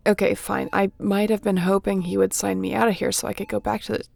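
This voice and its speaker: A woman playing a wounded starship captain in a sci-fi audio roleplay, wry and caring, speaking haltingly and softly with a breathy, gravelly voice. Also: medium pitch, flat delivery. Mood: sheepish, evasive. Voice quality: gravelly, often breathy and whispery.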